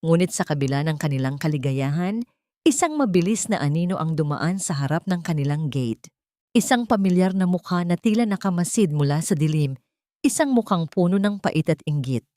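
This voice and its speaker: A woman with a Filipino accent narrating a scripted dramatic story in a measured, solemn way, in short phrases. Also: fairly low pitch, animated, warm tone, dry recording, studio quality.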